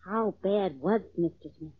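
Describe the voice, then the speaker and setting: Husky, coy, mock-innocent little girl. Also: medium pitch, measured pace. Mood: mock wonder. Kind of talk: 1940s radio comedy acting. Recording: tinny, phone-like old radio recording.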